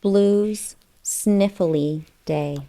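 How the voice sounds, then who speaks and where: A woman's voice: mid-range voice, extremely slow, mellow. A woman reading a children's storybook aloud, putting questions to young listeners. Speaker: children's book read-aloud narrator. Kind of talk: scripted storybook read-aloud.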